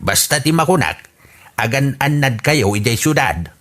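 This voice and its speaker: Man, Ilocano accent, very lively pitch, exasperated, sighing. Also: normal speed. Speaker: voice actor in an audio comedy drama. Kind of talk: radio drama acting.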